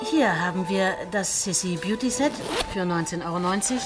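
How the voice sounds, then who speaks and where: An eager, salesy female souvenir seller, played by a woman in a radio play. She pitches her wares like a shopping presenter, with loud music running behind her. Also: normal speed, close to an everyday voice. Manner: salesy, listing products and prices.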